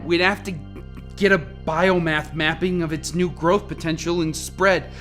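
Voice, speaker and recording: A woman's voice: on the low side, fairly even intonation, a little slow, fairly bright tone, faint music behind. A woman playing a testy, defensive lead scientist in an amateur radio play. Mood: puzzled, urgent.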